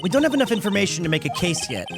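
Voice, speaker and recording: A man's voice: sits high, very animated, rapid-fire, creaky, full character voice. A man voicing a hesitant young defence lawyer in video game dialogue, sheepish and stammering with dry asides, over game music. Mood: frustrated.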